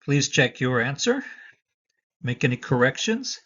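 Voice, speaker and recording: A man's voice: medium pitch, very animated, takes it slowly, a bit bright, lo-fi sound. A man teaching a lesson for the citizenship test, dictating patiently and switching between Spanish and English.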